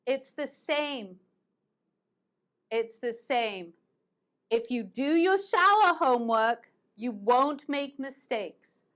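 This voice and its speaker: Slow, patient woman teaching grammar. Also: high-pitched, lively intonation, fairly bright tone. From United States and England. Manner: slow, patient, repeats verb forms. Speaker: English teacher. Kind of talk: online grammar lesson.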